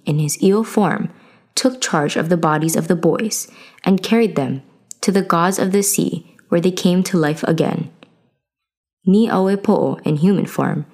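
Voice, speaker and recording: A woman reads a folktale aloud as a storyteller. Her voice sits a little low, and the delivery is scripted storybook narration. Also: a little mellow.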